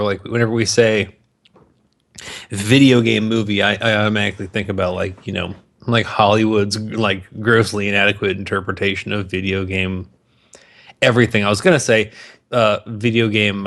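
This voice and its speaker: Low-pitched man, bluntly opinionated. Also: a bit bright. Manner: profane, hyperbolic. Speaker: podcast co-host. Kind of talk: casual chat, opinionated banter.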